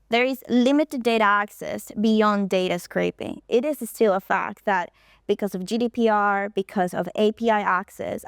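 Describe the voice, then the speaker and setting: A woman presents at a conference, setting out her points in order. Her voice is smooth and clear and sits at a middle pitch. The sound is close and dry, with no room echo. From Colombia. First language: Spanish. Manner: explanatory, walking through points in order. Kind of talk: stage presentation.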